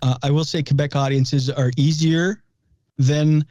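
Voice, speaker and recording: Casting: male comedian, 30s, French native speaker from New Brunswick. Warm, relaxed voice, loose storyteller who swears freely. Dull, dry recording. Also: a little high, unhurried, no echo. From New Brunswick, Canada. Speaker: guest comedian. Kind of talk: casual interview chat.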